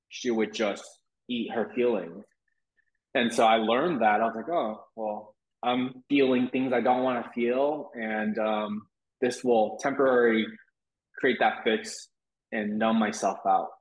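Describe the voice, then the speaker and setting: A young man who grew up partly in Taiwan, with Mandarin as his first language, speaking candidly and reflectively as an interview guest, with frequent restarts. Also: medium pitch. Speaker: wellness guest. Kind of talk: interview conversation. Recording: roomy echo.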